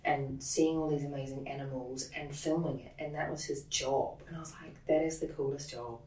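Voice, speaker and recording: A woman from New Zealand with a New Zealand accent, talking as a guest in a casual interview. She speaks quickly and animatedly, with lots of "like" and laughter. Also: very deep voice, steady tone, mellow. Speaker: interview guest, production head. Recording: roomy sound, distant from the mic.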